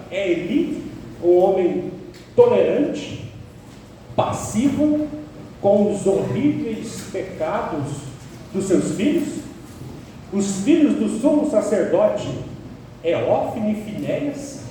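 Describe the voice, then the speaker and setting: A man from Paraná, Brazil, preaching an expository sermon, emphatic and building to sharp peaks on key words. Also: high voice, slight background noise. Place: church sanctuary. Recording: echoey church hall, distant mic.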